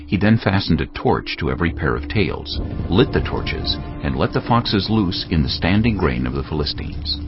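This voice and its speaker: A man's voice: deep voice, lively intonation, normal speed. A man narrating scripture in a dramatic, scripted style. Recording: dull lo-fi audio with music. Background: music.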